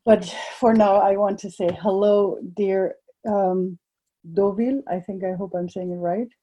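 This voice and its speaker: A woman's voice: mid-range voice, fairly even intonation, measured pace, warm tone, dull audio. A woman hosting a podcast, talking enthusiastically and laughing often. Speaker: podcast host. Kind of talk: podcast interview.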